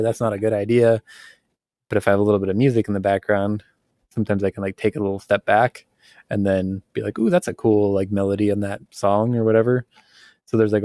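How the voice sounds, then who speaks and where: A man with a fairly low voice chats on a podcast in a relaxed, meandering way. He fills his thoughts with ums and likes and laughs easily. The sound is close and dry. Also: dry recording. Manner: relaxed, rambling, laughs often, many fillers. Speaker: podcast co-host. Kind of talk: casual podcast conversation.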